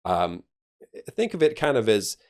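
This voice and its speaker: A man's voice: lowish voice, big pitch swings, unhurried, darkish tone. A man talking as an air traffic controller guest on a podcast, explaining things conversationally and with emphasis.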